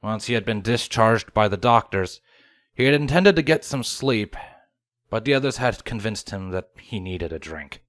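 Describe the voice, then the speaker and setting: A man's voice: fairly low pitch, animated, moderate pace, fairly bright tone, no room sound. A man reading a scripted story aloud as its narrator. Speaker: fan fiction reader.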